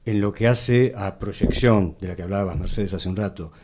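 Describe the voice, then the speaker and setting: Argentine guy chatting on a panel, warm dark voice, bit on the low side. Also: normal speed. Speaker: voice professional, panelist. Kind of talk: conversational discussion.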